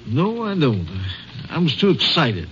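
An actor playing a shaken, earnest bank vice president in a 1950s radio drama, with a hesitant, stammering American delivery. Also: male voice, on the high side, huge pitch swings, a little slow, fairly bright tone, exaggerated voice. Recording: muffled old radio recording, faint noise.

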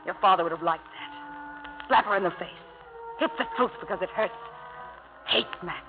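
A woman's voice: fairly low pitch, moderate pace, faint music behind, close to an everyday voice. An actress playing a lovelorn, embittered woman with an intense, pointed delivery in a 1950s radio melodrama. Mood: pleading, scornful. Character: lovelorn, embittered woman pleading with her hardened lover. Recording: old tinny radio broadcast.

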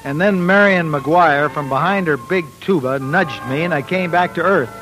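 Man as folksy, higher-voiced young radio storyteller. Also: moderate pace, close to an everyday voice, rough recording. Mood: wry, nostalgic. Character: earnest, boastful small-town youth telling his life story. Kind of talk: scripted narration, 1940s radio acting. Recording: vintage radio recording with music.

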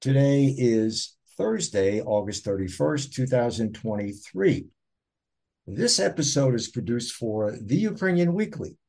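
A man hosting a podcast, with a slightly husky voice that rises and falls a lot. He reads formal, scripted introductions and questions, with a faint clicking behind him. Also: medium pitch, warm tone. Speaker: podcast host. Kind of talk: podcast interview.

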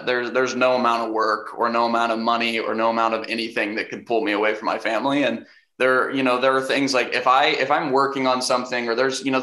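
A young man, an actor, answering interview questions casually, hesitant and full of restarts, with a fairly deep voice. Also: bright, forceful tone. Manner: hesitant, many restarts and fillers, laughs easily. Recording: roomy echo.

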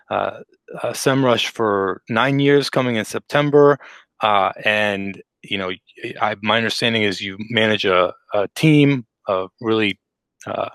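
A man's voice: lowish voice, a bit bright, no echo. A man hosting a remote podcast interview, talking casually with many fillers and an upbeat manner. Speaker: podcast host. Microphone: remote call microphone.

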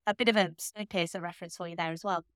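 A woman hosting a podcast alone, talking in a chatty, enthusiastic way while still slightly hoarse from laryngitis. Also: lowish voice, steady tone, rapid-fire, a bit bright, no room sound, studio quality. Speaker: podcast host. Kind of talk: solo podcast monologue.

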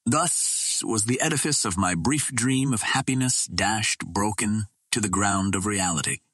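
Husky low-voiced man narrating, very dry sound. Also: unhurried, fairly bright tone, dry recording. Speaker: audiobook narrator. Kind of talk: scripted audiobook narration of pulp fantasy.

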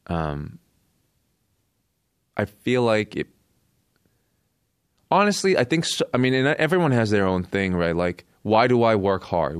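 A man with a Canadian accent telling a personal story casually as an interview guest, in short halting phrases. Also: deep voice, no room sound, clean studio sound. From born in Korea, raised in Canada.

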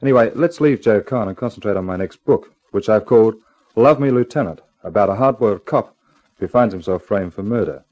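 A husky-voiced man giving a scripted sign-off as host of a vintage radio show. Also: on the low side, fairly quick, darkish tone, no room sound, muffled recording. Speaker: author-host. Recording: dry vintage radio recording. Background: faint music.

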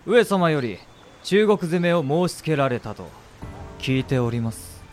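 A man's voice: on the high side, animated, measured pace, a little mellow, faint music behind. A man playing a deferential tea-master merchant, speaking with polite, humble formality in a period drama. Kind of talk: Japanese period drama acting.

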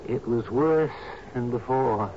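An actor playing a captain's steady, deferential right-hand man in a 1970s radio drama, measured and soothing. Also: mid-range voice. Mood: grave. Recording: muffled, noisy 1970s radio broadcast.

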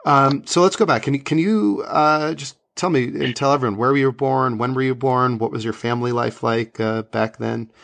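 A man's voice: medium pitch, normal speed, gravelly, hardly any pauses, fairly bright tone, dry recording. A man interviewing a guest, asking eager, rambling questions.

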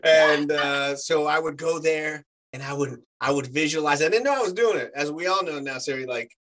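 A man's voice: on the high side, normal speed. A man in his forties whose first language is Spanish, chatting casually as an actor guest and telling stories with lots of fillers. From United States. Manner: animated storyteller, many fillers. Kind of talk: casual chat.

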